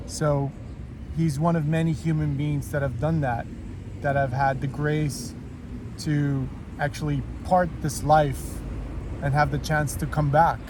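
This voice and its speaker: Higher-pitched male host in noisy surroundings. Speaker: host introducing a guest. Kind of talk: conversational spiritual talk.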